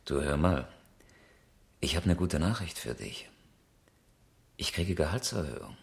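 Low-voiced, self-assured rally driver. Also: male voice, expressive, a little slow, gravelly, husky, full character voice. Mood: upbeat, reassuring. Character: engineer and amateur rally driver, proud, insistent husband. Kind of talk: 1970s German radio crime drama acting.

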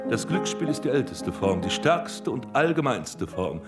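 An actor playing an imperious intellectual in a radio drama, declaiming deliberately. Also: male voice, medium pitch, sing-song. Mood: lofty, intent. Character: man lecturing philosophically on gambling, intellectual, imperious. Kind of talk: German radio drama acting. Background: loud music.

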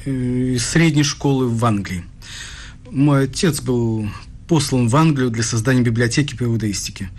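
An actor plays a young male researcher with a husky voice of middling pitch. He is polite but guarded, hesitating now and then as he conducts an interview in a radio play. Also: normal speed, a little mellow, lightly acted. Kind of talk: dramatic radio dialogue.

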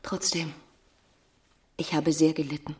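Husky, desperate woman. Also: low-pitched, flat delivery, a little slow, full character voice. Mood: tender, wounded. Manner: theatrical, intense, swinging between whisper and outburst. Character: desperate, ruined married woman pleading with former lover. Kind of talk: radio drama acting.

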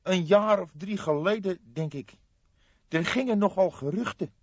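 A man voicing a scrawny, excitable café owner from the underworld in an audiobook, talking emphatic street slang. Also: sits high, normal speed, no echo, pitched above the performer's own voice. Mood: confiding. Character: scrawny indignant small-time underworld café owner, male. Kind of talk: audiobook character acting. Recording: slightly dull, dry studio recording.

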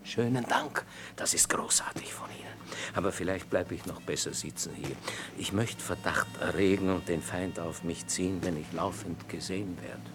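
A man's voice: low-pitched, normal speed, natural voice. An actor playing a timid, sanctimonious army clergyman, hesitant and wheedling. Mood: anxious, wheedling. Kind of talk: stage-style radio drama acting. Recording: noisy track with battle sounds.

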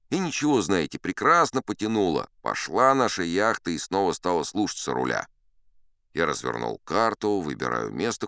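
An actor playing an old sea captain who spins tall tales in a dramatised audiobook, boastful and unflappable, with theatrical asides and dramatic pauses. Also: male voice, deep voice, a bit bright, smooth, no echo, studio quality, full character voice. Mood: buoyant, boastful.

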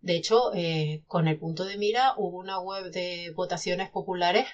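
A woman's voice: on the low side, fairly even intonation, normal speed, muffled recording. A woman, a self-published novelist, talking animatedly in a casual podcast conversation with many restarts.

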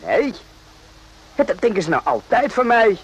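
A man's voice: sits high, sing-song, moderate pace, husky, full character voice, rough recording. A man acting in a radio drama as an evasive, boastful, naive army private, hesitant and repeating himself. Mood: flustered, evasive.

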